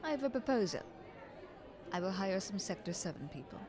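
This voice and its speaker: A woman playing a scheming, flattering queen in an audio roleplay, speaking in a haughty, ornate courtly manner. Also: mid-range voice, brisk, crowd noise, close to an everyday voice. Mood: haughty, persuasive. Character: visiting queen, scheming, flattering, condescending royal negotiator.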